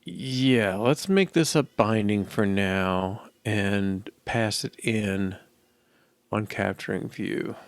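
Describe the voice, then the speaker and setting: A middle-aged man thinking aloud as he codes, musing and halting as if muttering to himself, in casual commentary. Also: on the low side, no echo. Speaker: programmer live-coding. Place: indoor room at a desk.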